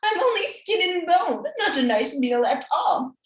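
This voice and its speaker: A woman's voice: very high-pitched, very animated, unhurried, voice pushed up, full character voice. A woman voicing a frail, kindly old grandmother in a storybook reading, in an echoey room. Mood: pleading.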